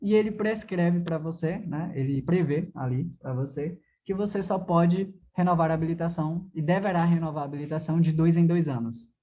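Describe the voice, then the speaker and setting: A Brazilian man teaches in a conversational, didactic way, often asking a question and then answering it. His voice is mellow and soft-edged. The recording is a little dull and lo-fi, like a simple lesson capture. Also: fairly high pitch, fairly quick, few pauses, slight background noise. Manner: didactic, asks and answers own questions, frequent check-in tags. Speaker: driving theory teacher. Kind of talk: conversational classroom lecture.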